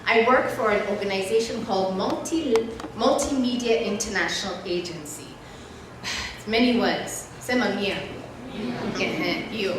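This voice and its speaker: A woman's voice: mid-range voice, unhurried. A woman gives a motivational talk to young people in a church, telling stories conversationally and addressing her listeners directly. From born in Nairobi, Kenya, partly raised abroad. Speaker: guest speaker and mentor. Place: large echoey indoor church space. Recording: very echoey hall, distant mic, faint noise.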